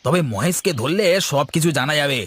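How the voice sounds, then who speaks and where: A man voicing an eager, hot-tempered henchman of a village boss, excitable and blustering, in a cartoon moral story. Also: a little high, brisk, husky. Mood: excited.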